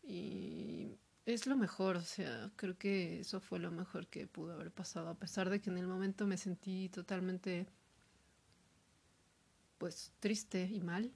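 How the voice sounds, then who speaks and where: A woman talking alone in a hesitant, reflective monologue, full of fillers and pauses. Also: lowish voice, fairly even intonation, creaky, a little mellow, breathy edge. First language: Spanish. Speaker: podcaster. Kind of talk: personal monologue.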